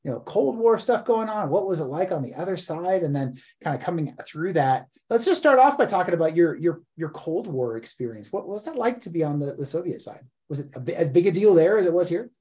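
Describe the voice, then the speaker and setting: A man's voice: fairly high pitch, big pitch swings, brisk, hardly any pauses, mellow. A man in his forties hosting a casual interview, friendly, often repeating words as he starts sentences. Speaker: podcast host.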